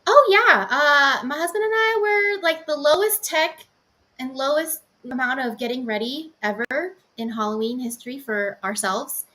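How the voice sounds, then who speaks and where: A woman's voice: high voice, moderate pace, pushed and bright. A woman hosting a podcast, upbeat and welcoming in casual chat. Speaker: podcast host.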